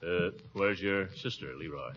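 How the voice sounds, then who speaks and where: An actor playing a pompous, wheedling middle-aged uncle in theatrical 1940s radio comedy style. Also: male voice, low voice, normal speed, noisy background. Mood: grumpy, uneasy. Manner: theatrical, swooping between bluster and coaxing. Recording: muffled vintage radio recording.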